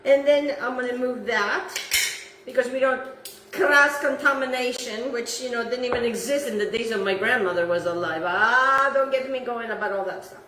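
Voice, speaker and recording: Chatty high-voiced woman cooking in an echoey kitchen. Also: normal speed, distant mic, background noise. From Italy. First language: Italian. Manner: chatty, repeats herself, talks to the viewer and her dog. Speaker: home cooking host. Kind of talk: casual cooking demonstration. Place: home kitchen.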